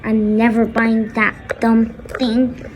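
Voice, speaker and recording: A woman's voice: a little high, little pitch movement, takes it slowly, darkish tone, a little hiss or hum, low-quality recording. A woman voicing a stern, angry father who scolds bluntly and emphatically in a homemade skit. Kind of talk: homemade skit role-play.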